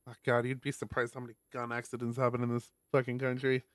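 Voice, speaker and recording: A man's voice: medium pitch, monotone, quick pace, a crowd in the background. A man reading a visual novel aloud on a stream, expressively, with casual sweary asides.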